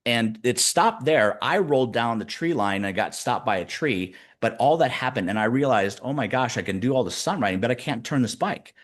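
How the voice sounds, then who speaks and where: Animated storytelling man in his fifties. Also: medium pitch. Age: 50s. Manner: animated storyteller, speeds up and slows down. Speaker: guest, executive coach. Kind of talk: casual interview chat.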